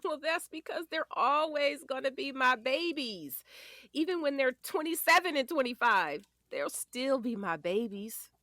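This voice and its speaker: Lively, sassy, doting mother played by an actress. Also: high voice, unhurried, dry recording, studio quality, full character voice. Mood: playfully sassy. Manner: sassy, teasing. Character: playful, firm, doting wife and mother of forty-one. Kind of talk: naturalistic audio drama acting.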